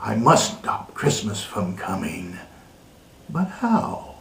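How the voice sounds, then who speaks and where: A man's voice: on the low side, sing-song, slow pace, creaky, a bit bright, pitched below the performer's own voice, full character voice. A man voicing a sour, scheming old Grinch from a storybook, with a snarling, exaggerated villain delivery. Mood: exasperated. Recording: roomy echo, distant mic.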